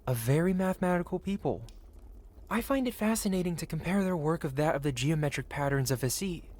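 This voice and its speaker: A man's voice: fairly high pitch, animated, fairly quick, a little mellow. A man playing a possessive, wealthy dragon merchant who speaks in formal, flowery, courteous phrases in an intimate fantasy ASMR roleplay. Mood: passionate, fascinated. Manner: formal, flowery, courteous speech addressed to one listener.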